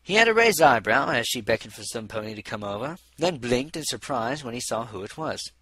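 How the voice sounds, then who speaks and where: A man's voice: medium pitch, sing-song, brisk, bright, forceful tone, slightly rough. A man narrating a scripted story as an audiobook reader.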